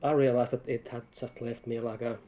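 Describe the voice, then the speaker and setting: A man's voice: mid-range voice, little pitch movement, fairly quick, mellow, a little hiss or hum. A man talking as a game developer guest in a casual livestream interview, in hesitant, broken phrases.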